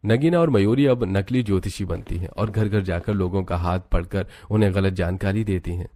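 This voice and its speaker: A man's voice: deep voice, moderate pace, dark tone, a little hiss or hum. A man narrating a scripted moral story as its storyteller.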